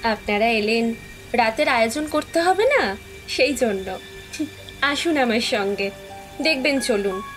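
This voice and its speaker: A woman's voice: on the high side, animated, unhurried, pauses often, a bit bright, faint music behind. A woman playing a sweet, hospitable young village woman in an audio horror story, speaking politely with a coaxing, playful edge. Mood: coaxing, teasing. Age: young adult.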